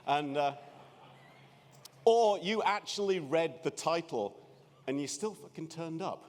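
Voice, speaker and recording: A man's voice: on the high side, animated, measured pace, darkish tone. Scottish man in his fifties, dry self-deprecating humor, keynote in a big echoey room. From Scotland. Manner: wry, self-deprecating, many restarts. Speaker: keynote speaker. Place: large conference room. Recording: reverberant conference hall.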